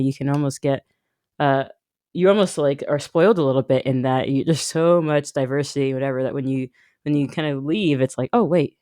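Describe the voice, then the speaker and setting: A woman hosts a podcast in a very low voice, relaxed and rambling, leaning on fillers and hedges. The sound is dry and close, with no room echo. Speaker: podcast host. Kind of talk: casual interview chat.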